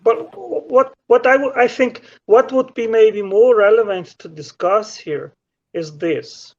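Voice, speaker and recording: A man with a notably high voice calls in to a debate. His answers come hesitantly, full of restarts and fillers. The call audio is muffled and dull. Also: a little slow, a little mellow. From Switzerland. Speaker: caller.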